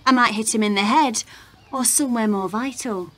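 A woman's voice: a little high, moderate pace, fairly bright tone. A woman playing a bold, sardonic 1920s working-class maid in a radio play, dry and defiant. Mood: dry, menacing.

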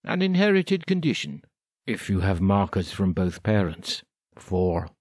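Man playing a husky, probing group leader. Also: low voice, big pitch swings, measured pace, a little mellow, full character voice. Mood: inquisitive. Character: probing, chatty male group leader, mischievous.

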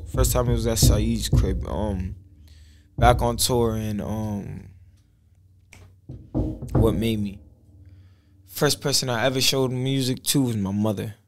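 Gravelly young rapper, laid-back. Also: male voice, fairly low pitch. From Boston. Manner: laid-back slangy storytelling. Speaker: rapper guest. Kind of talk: casual podcast interview. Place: small studio with purple backdrop. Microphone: studio mic on boom arm.

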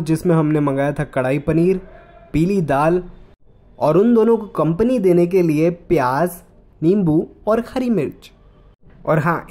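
A man's voice: fairly high pitch, darkish tone. A young man narrating his food vlog in a chatty, playful voice-over. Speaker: travel vlogger.

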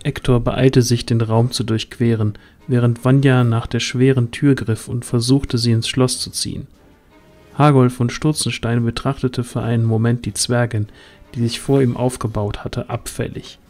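A man's voice: medium pitch, little pitch movement, moderate pace, darkish tone. Man narrating fantasy over music. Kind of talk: scripted fantasy audio drama narration.

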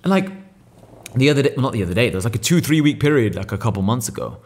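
A man's voice: medium pitch, lively intonation, racing. A young man in his twenties with an English accent, talking bluntly on a podcast and swearing freely at a table mic indoors. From England. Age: 20s. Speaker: podcast guest, business owner. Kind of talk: casual podcast conversation. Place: room seen, small room at a table indoors. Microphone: boom mic on an arm.

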